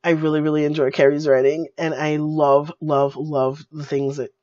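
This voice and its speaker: A woman with a cold, her voice going hoarse, giving a casual video book review in a gushing way, full of fillers and repeated words. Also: deep voice, little pitch movement, moderate pace, mellow, no echo. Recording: dull lo-fi dry sound.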